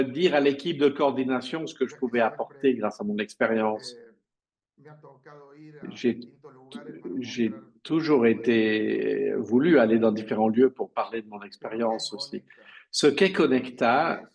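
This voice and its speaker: A male simultaneous interpreter voices conference speeches as they happen. His pitch sits in the middle for a man. He speaks haltingly, stretching out pauses as he renders each phrase.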